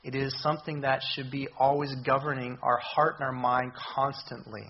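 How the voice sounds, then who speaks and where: A hoarse man preaches in a church, pausing and restarting often as he speaks. His voice sits at an ordinary middle pitch. The recording is dull and lo-fi, with faint hiss behind him. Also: flat delivery. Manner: halting, many ums and restarts. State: hoarse, recovering voice. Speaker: visiting missions speaker. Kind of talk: church sermon.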